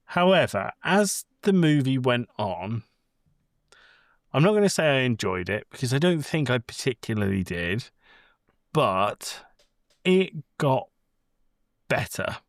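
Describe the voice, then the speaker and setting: A man's voice: medium pitch, very animated, unhurried, pauses often, no room sound, clean studio sound. A man co-hosting a casual podcast, giving blunt opinions in a conversational way with some swearing. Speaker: podcast co-host. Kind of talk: casual movie discussion.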